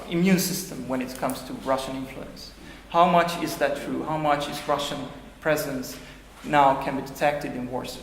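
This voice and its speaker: A man, a think-tank director moderating a panel, gives formal opening remarks with frequent filler sounds. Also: a little high. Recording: echoey hall, faint noise.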